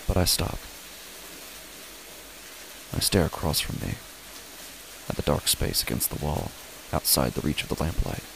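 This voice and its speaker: A man playing an uneasy father who narrates his own horror story in a halting, brooding way. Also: rumbling low, big pitch swings, vocal fry, noisy background, full character voice. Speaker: narrator and lead character of a horror audio drama. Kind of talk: first-person horror audio drama narration.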